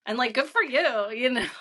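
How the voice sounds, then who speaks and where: A woman's voice: high-pitched, a bit bright, slight background noise. A young woman hosting a podcast in casual chat, bubbly and informal, peppering her talk with "like". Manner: bubbly, informal, heavy "like" filler. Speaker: podcast host, interviewer.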